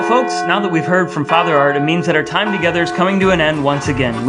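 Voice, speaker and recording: A man with a somewhat high voice talks casually as a podcast host. Music plays clearly behind him as he speaks in a relaxed, conversational style.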